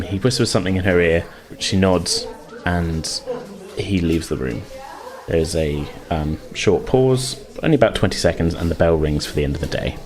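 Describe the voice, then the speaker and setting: A man acting as game master, improvising narration for a tabletop game in a halting, conversational way. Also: deep voice.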